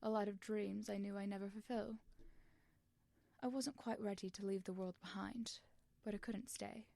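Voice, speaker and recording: A woman playing a regretful, defensive man in a story, melancholic and pleading, halting and earnest. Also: mid-range voice, steady tone, vocal fry, warm tone, no room sound, natural voice.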